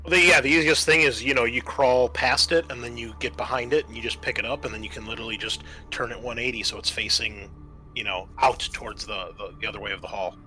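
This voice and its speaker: A man with a bright, forceful voice is running a tabletop roleplaying game. He narrates off the cuff and explains the options in a casual, conversational way. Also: medium pitch, fairly even intonation, fast talker, soft background music, low-quality recording. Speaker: game master.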